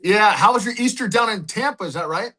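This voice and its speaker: Bright-voiced upbeat podcast host man. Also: sits high, a little fast. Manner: upbeat, stumbles and restarts when excited. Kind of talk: casual interview hosting.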